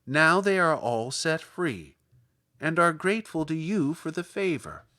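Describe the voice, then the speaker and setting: Guy putting on a sweet old little lady voice, calm and matter-of-fact, storybook style. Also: a little high, measured pace. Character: kindly tiny old woman, calm and sweet. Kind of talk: audiobook character voice.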